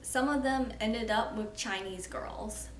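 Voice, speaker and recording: A woman's voice: a little high, slow. A young woman in her twenties talking frankly and casually to camera as a vlogger, filling her sentences with "like.". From United States. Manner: chatty, frank, frequent "like" fillers. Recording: roomy echo with faint ambient noise.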